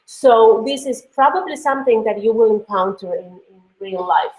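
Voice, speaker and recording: A woman's voice: fairly high pitch, moderate pace, darkish tone. Finnish woman lecturing on a video call, chatty, lots of uhs and restarts, roomy echo. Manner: conversational, many fillers and restarts. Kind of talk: online course lecture. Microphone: computer mic on a video call. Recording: echoey room.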